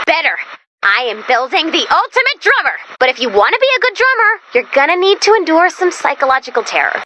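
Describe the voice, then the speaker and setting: A woman voicing an excitable, quirky young female robot guide in a video game, chatty and expressive with dramatic, wry asides. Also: extremely high pitch, slight background noise, exaggerated voice. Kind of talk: animated video game voice acting.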